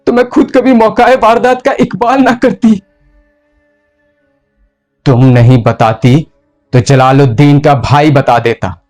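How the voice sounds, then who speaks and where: A male actor playing a ruthless, tormented medieval sultan in a slow, deliberate theatrical monologue with heavy pauses. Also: fairly high pitch, a little mellow. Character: brooding, ruthless, tormented medieval sultan confessing his murders. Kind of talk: dramatic theatrical Hindustani monologue.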